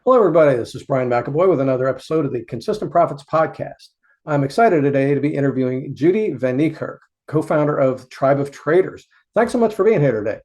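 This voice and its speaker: A man's voice: on the high side, expressive, fast talker, darkish tone. A man hosting a podcast interview, introducing his guest and asking questions in a friendly, hesitant way. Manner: friendly, hesitant, many fillers and restarts when asking. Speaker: podcast host.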